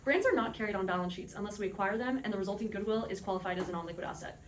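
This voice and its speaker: A woman's voice: medium pitch, lively intonation, very fast, heavy background noise. A woman making a scripted, formal argument as a businesslike debate panelist. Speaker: debate panelist playing an executive.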